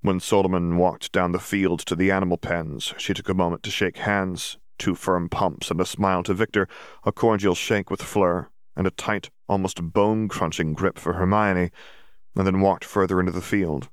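A man's voice: sits low, level tone, normal speed, clear, resonant tone, no echo, clean studio sound. A man reading a fantasy story aloud as scripted audiobook narration.

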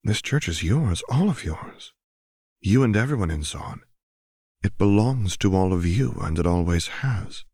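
A man voicing a serene, mysterious goddess disguised as a beggar woman, speaking quietly and gently in a narrated story. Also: sits low, expressive, measured pace, breathy edge, no echo, clean studio sound, heavily acted. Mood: gently reassuring.

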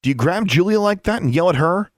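A man's voice: fairly low pitch, lively intonation, a little fast, no room sound, clean studio sound. A man voicing a bold, stubborn thirteen-year-old witch in an audiobook story, defiant and insistent.

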